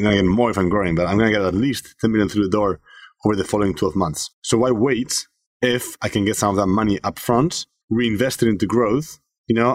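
Low-voiced man from Spain, fast and dry. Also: no echo. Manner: fast, filler-heavy, frequent restarts. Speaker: startup CEO guest. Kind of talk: conversational podcast interview.

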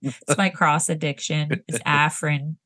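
A woman's voice: low voice, steady tone, measured pace, a bit bright, no room sound. A woman co-hosting a podcast with a stuffy nose, chatting casually and laughing easily. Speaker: podcast co-host. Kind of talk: casual chat.

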